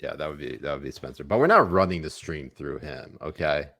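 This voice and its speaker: Deep-voiced man trading crude jokes. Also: expressive, a little fast, pushed and bright, no echo, studio quality. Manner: crude, teasing, laughing. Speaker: podcast co-host. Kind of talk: crude comedic banter.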